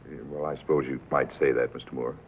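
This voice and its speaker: Dry reluctant doctor, real deep voice, hesitant, tinny old 50s film audio. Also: male voice, fairly even intonation, quick pace. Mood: grave, dry. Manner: hesitant, understated. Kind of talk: 1950s film drama acting. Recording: tinny, narrow 1950s film soundtrack, faint noise.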